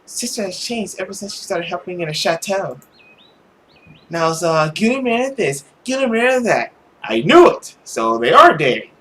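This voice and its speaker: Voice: medium pitch, big pitch swings, normal speed, fairly bright tone. A performer voicing a hot-tempered, brash sixteen-year-old girl in an emphatic, indignant rant. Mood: exasperated.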